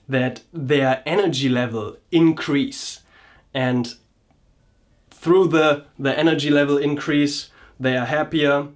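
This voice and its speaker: A man reflects on his own experience for a vlog. He speaks at a middle pitch and picks his words slowly, often stopping to restart a sentence. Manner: hesitant, searching for words, frequent restarts. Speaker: vlogger and coach.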